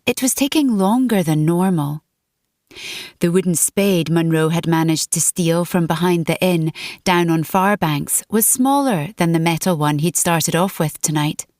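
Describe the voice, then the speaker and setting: Low-toned woman narrating an audiobook. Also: unhurried, a little mellow, dry recording, clean studio sound. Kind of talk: scripted dramatic narration of gothic fiction.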